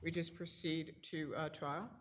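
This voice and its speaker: A woman's voice: sits low, level tone, measured pace, a little hiss or hum. A woman judge questioning counsel from the bench in a courtroom, asking brief, probing questions from a little away from her microphone. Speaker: appellate judge.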